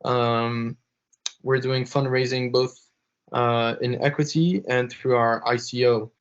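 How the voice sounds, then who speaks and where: A young man, half French and half Lebanese, answering interview questions haltingly with many fillers and restarts. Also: mid-range voice, flat delivery. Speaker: interview guest, blockchain executive. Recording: slightly dull podcast audio.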